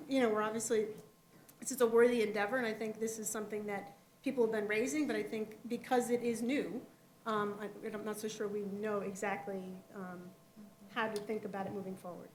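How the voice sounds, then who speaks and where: A slightly hoarse woman commissioner thinks aloud at a public planning meeting, circling back and restarting her sentences. Her voice carries the echo of a large meeting hall. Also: mid-range voice, steady tone, fairly quick, slight background noise. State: losing her voice.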